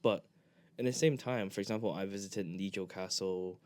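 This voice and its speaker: Young man from Hong Kong, low-pitched, casual podcast chat, rambling, halting, restarts sentences. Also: a little mellow. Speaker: podcast co-host.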